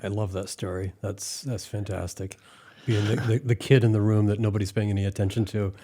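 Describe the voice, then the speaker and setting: A man's voice: fairly low pitch, flat delivery, fairly quick, warm tone. A man asking questions and responding as the interviewer in a conversational interview.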